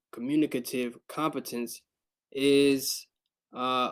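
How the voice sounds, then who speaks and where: A man student recording a video presentation for class, speaking in deliberate, measured phrases. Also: mid-range voice, darkish tone.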